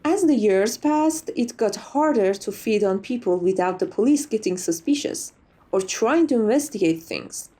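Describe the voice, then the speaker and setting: Woman playing a mellow, theatrical, chatty lady vampire. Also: medium pitch, animated, normal speed, lightly acted. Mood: matter-of-fact storytelling. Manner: playfully dramatic, hammy asides, chatty. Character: chatty, theatrical, vain but silly female vampire, over a century old. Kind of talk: ASMR roleplay, in-character interview monologue.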